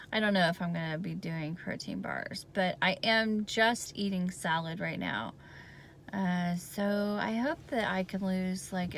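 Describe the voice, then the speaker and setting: A woman giving a casual video diary update in a hoarse, raspy voice, hesitant and rambling with lots of fillers. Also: lowish voice, a little hiss or hum. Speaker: vlogger giving a health update.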